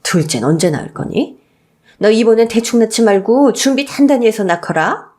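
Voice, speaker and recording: A woman's voice: fairly high pitch, lively intonation, brisk, darkish tone, voice pushed up, close to an everyday voice. A woman voicing a domineering older mother-in-law in a radio story, insistent and scolding. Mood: scolding, pushy.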